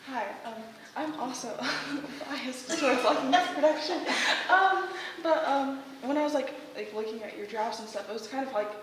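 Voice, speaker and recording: A woman's voice: high-pitched, normal speed, few pauses. A young woman giving casual feedback, hesitant and restarting often. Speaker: audience member giving feedback. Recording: very echoey room, distant mic, faint noise.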